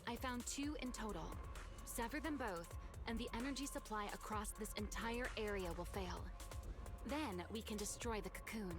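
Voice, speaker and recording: An actress playing a composed, confident mission partner in an anime action game, speaking in a calm, commanding way. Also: female voice, medium pitch, natural voice. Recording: loud game music behind.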